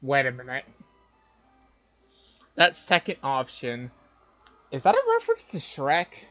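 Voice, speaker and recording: A man's voice: mid-range voice, huge pitch swings, measured pace, a bit bright, no room sound, faint music behind. A man giving casual live commentary as he streams a game.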